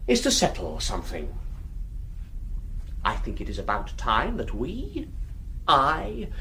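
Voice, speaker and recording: A man playing a genial, formal British archaeologist don giving a measured, ceremonious speech in a radio drama. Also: fairly high pitch, a little hiss or hum. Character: male archaeologist don, genial on surface, ceremonious, defeated candidate.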